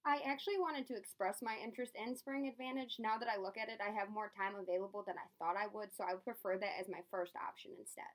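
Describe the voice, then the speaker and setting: A woman's voice: medium pitch, steady tone, quick pace, few pauses, poor audio. A young adult woman, a student, politely and earnestly answering questions as a candidate in a phone interview.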